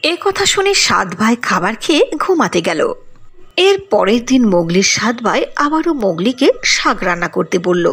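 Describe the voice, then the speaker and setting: A woman narrating a cartoon fairy tale with expressive storybook delivery. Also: mid-range voice, normal speed, husky.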